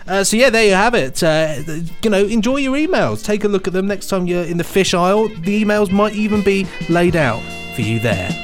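A man's voice: high-pitched, lively intonation, brisk, hardly any pauses, over loud music. A man co-hosting a podcast, chatting casually and riffing on silly jokes in a playful way. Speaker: podcast co-host. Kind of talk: jokey casual chat.

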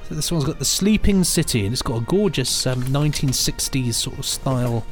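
A man's voice: medium pitch, expressive, brisk, faint music behind. Man, mid-range voice, casual presenter, chirpy banter, faint musical bed. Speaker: podcast co-host.

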